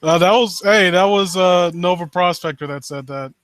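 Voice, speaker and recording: A man casually riffing as a host who reads and mocks stories, sarcastic and quick to swear. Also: high voice, a bit bright, clear, resonant tone, no echo, clean studio sound. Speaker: host reading and critiquing horror stories live. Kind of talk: casual riffing commentary with read-aloud passages.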